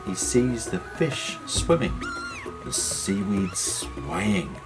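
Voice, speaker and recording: Low, slow expressive storyteller over music. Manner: measured, expressive delivery. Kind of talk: gentle children's storytelling narration.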